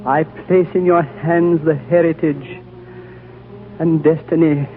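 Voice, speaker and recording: Through a narrow, tinny old radio sound with music underneath comes an ancient lama. His voice is fairly high, gentle and solemn, with the fatherly weight of a prophet in a radio play. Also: fairly even intonation. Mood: prophetic, solemn. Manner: slow-spoken solemn, fatherly, oratorical. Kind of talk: 1940s radio drama acting. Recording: tinny 1940s radio recording over music.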